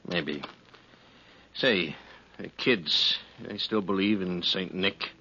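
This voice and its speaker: An actor playing a folksy, plain-spoken drifter in a 1950s radio western, talking in a colloquial way. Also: male voice, deep voice, slow, bright, forceful tone, slightly rough, full character voice. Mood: wistful. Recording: muffled, faintly noisy 1950s radio recording.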